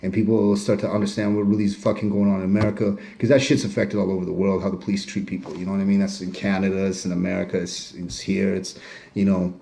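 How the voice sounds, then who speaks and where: A middle-aged man talks in a low, mellow voice. He rambles loosely, with many "you knows" and casual swearing. He speaks from his home kitchen. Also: level tone, fast, vocal fry. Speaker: rapper interviewee. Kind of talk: casual self-recorded interview.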